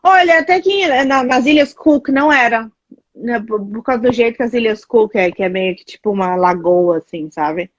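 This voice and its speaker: Brazilian woman guest, higher voice, animated casual talker, muffled dry call recording. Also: moderate pace, dry recording. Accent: Brazilian Portuguese. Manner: chatty, animated storytelling with many fillers. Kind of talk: casual chat.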